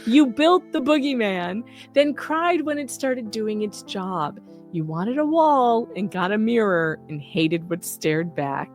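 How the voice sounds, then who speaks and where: A woman reading out scripted, sarcastic commentary in a mocking, theatrical manner. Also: a little high. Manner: often laughs while reading. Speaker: host reading an open letter.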